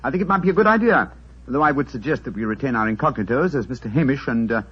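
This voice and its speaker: An actor with a British accent playing a brisk, shrewd, sceptical gentleman detective in a 1940s radio drama, formal and precise. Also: medium pitch, expressive, husky. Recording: muffled 1940s radio recording.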